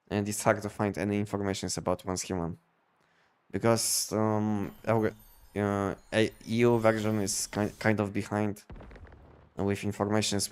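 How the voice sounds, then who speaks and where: A man chatting casually on a game livestream, explaining things in a halting, repetitive way. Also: on the low side, clear, resonant tone. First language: not English. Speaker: game live streamer.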